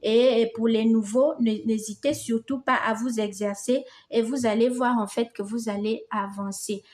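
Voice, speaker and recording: A woman's voice: on the high side, steady tone, normal speed, darkish tone. A woman from Gabon with a Gabonese French accent, a native Fang speaker, hosting a casual crochet tutorial in a reassuring, affectionate way. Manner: affectionate, reassuring, addresses viewers as "les amours".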